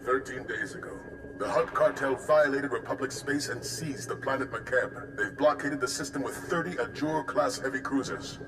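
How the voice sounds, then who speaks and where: A man's voice: mid-range voice, normal speed, noisy background, close to an everyday voice. A man playing a stern supreme commander in a video game, delivering a formal military briefing. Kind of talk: scripted video game acting. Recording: echoey, with game music behind.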